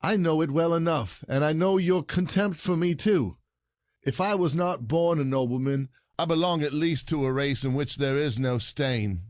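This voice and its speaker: An indignant husband, acted by a man in a classic stage comedy audio play. His voice sits fairly high and is recorded dry and close. He scolds in formal phrasing, proud, jealous and sarcastic. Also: normal speed, no room sound, close to an everyday voice. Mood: scolding.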